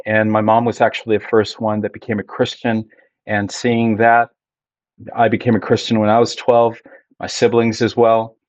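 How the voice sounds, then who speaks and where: A middle-aged man from Ecuador, a pastor being interviewed, telling his story in a measured, reflective way. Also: fairly low pitch, monotone, darkish tone, no room sound, muffled recording. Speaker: Spanish ministry pastor, guest. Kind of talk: conversational interview.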